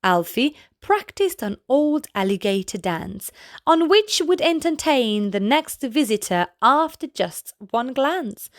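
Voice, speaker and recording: Female bedtime-story reader, high and lively, takes her time. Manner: gentle, expressive, unhurried. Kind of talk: children's bedtime read-aloud.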